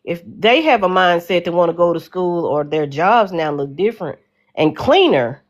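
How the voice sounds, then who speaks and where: A woman's voice: on the low side, animated, moderate pace, muffled recording. A woman in her forties with a Southern American accent, earnestly rambling about her views as a guest on a call-in show. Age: 40s. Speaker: caller guest. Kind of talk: casual call-in chat.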